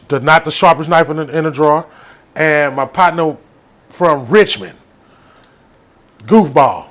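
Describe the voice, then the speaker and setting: A man's voice: fairly high pitch, a bit bright. A man from Texas with a Southern US accent, telling comic stories in a casual, playful, rambling way. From Texas, United States. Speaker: storyteller.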